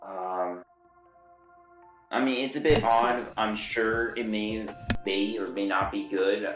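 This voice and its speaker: A man calling in to a live stream, relaxed and hesitant as he thinks aloud. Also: on the low side, takes it slowly, reverberant, music in the background. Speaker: caller. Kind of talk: casual call-in chat.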